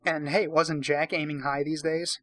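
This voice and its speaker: A man's voice: fairly high pitch, level tone, moderate pace, a bit bright, no echo, clean studio sound. A tipsy man hosting a casual comic read-aloud, narrating and breaking off for sweary, sarcastic commentary. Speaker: host, narrator.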